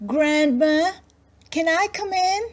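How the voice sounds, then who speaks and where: A woman voicing an eager, easily distracted little girl in a storybook reading, speaking high, sweet and slow. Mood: childlike. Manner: sweet, excitable.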